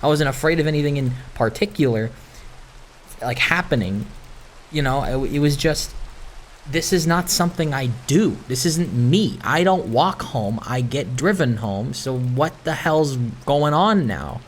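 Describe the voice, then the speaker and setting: A young man rambling casually and candidly in personal stories over gameplay, introspective, halting and questioning himself. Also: mid-range voice, lively intonation, a little hiss or hum. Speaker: gaming video maker.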